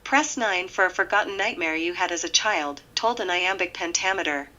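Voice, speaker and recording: A woman voicing an eerie automated phone menu, reciting absurd options in a measured deadpan. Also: fairly low pitch, muffled recording. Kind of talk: automated phone system announcement.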